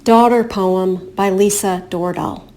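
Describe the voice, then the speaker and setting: A woman poet reading her own poems in a measured, deliberate way. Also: medium pitch, steady tone, over music. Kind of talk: poetry reading.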